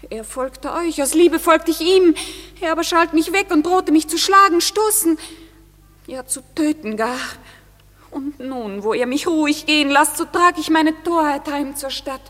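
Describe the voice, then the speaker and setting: Timid heartbroken young lady, high voice, old radio play verse, echoey. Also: a little slow, a bit bright, faint music behind. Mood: pleading, sorrowful. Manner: pleading, theatrical verse delivery. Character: lovelorn, timid, wounded young woman. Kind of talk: classic German radio play acting. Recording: reverberant hall.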